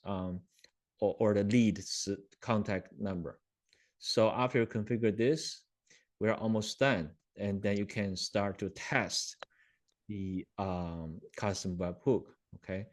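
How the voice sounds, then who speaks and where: A man hosting a webinar, talking a live software demo through with many ums and self-corrections, often checking in with his listeners over a video call. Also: fairly low pitch, slow. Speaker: webinar host.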